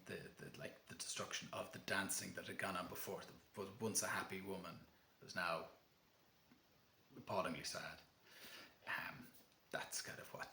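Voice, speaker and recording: A young Irish man talks about poetry in a relaxed, halting way, full of ums and restarts. His voice sits fairly low. The room gives it a noticeable echo. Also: vocal fry, a little hiss or hum. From Ireland. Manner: relaxed, many fillers and restarts. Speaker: book channel host. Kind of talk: casual talk and poetry reading.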